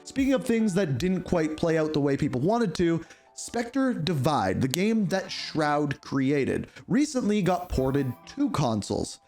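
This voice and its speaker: Man, gaming news host, congested and hoarse, fairly high pitch, soft background music. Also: measured pace. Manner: chatty, opinionated, lively emphasis. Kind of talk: casual gaming news commentary.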